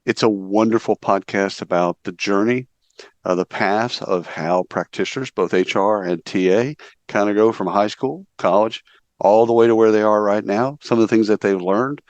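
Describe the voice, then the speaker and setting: Male podcast host, friendly promo read, lower voice, dry recording. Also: moderate pace, no echo, dull audio. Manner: upbeat, friendly pitch.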